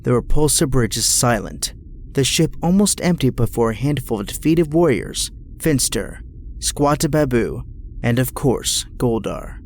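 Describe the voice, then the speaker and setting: A man's voice: mid-range voice, lively intonation, unhurried, a little mellow, a little hiss or hum. A man narrating an audio drama in a scripted, dramatic storytelling style.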